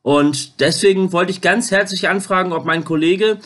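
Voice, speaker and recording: Man in his thirties, slightly high voice, hesitant, repetitive, informal phone interviewer. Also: fairly bright tone. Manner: halting, hesitant, repeats words. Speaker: YouTuber making a phone call on camera. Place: home kitchen, indoors.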